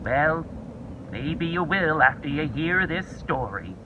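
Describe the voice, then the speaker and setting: A man's voice: fairly high pitch, takes it slowly, lo-fi sound, background noise. A man voicing a patient little caterpillar. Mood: calm. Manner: calm, patient storytelling. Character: gentle wise little fuzzy caterpillar.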